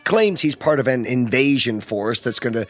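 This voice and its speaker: A man's voice: mid-range voice, expressive, moderate pace, soft background music, lightly acted. An actor playing a practical, protective middle-aged father, speaking in a firm, matter-of-fact way in a radio drama. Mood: skeptical, decisive.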